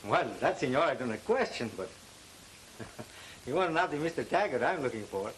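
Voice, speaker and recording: An actor playing a sly, jovial Mexican messenger in an old western film, with a Mexican accent and effusive, courteous manners. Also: male voice, mid-range voice, very animated, moderate pace, a bit bright, poor audio. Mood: jovial, polite. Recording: hissy old film soundtrack.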